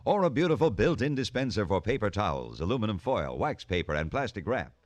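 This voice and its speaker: A man's voice: on the low side, very animated, brisk, dry recording. A man reading a scripted radio commercial as an announcer. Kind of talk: scripted radio advertising pitch.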